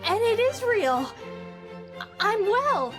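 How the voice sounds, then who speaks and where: A woman doing a high-voiced, wonder-struck boy for an audio drama, lively and excitable. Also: takes it slowly, music in the background, exaggerated voice. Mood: ecstatic. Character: sickly boy recovering, eager and wondering. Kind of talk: amateur audio drama acting.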